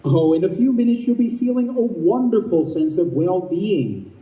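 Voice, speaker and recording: An actor in a live radio play voicing a breezy, businesslike escort of souls, matter-of-fact and officious. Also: male voice, high-pitched, animated, normal speed, mellow, roomy sound, poor audio. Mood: breezy, reassuring. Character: chipper, businesslike male heavenly escort for souls. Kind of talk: live old-time radio play acting. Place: live stage at a convention.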